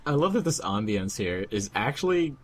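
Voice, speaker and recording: Low-voiced man in casual commentary. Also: sing-song, normal speed. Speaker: fandub editor, commentator.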